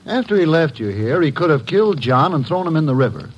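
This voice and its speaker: An actor playing an earnest, insistent, suspicious young suitor, speaking politely in an old-time radio drama. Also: male voice, fairly high pitch, very animated, fast talker, slightly rough, dull audio.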